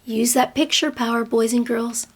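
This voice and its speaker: A woman's voice: fairly high pitch, steady tone, moderate pace, slight background noise. A woman reading a picture book aloud to children like a teacher, speaking to them directly with dramatic emphasis. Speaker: read-aloud storyteller, teacher-like.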